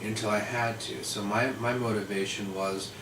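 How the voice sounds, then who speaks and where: A man's voice: on the low side, steady tone, moderate pace. A man who hosts the chat, asking questions and stuttering now and then. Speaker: host. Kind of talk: casual chat. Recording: echoey room, distant mic, noisy.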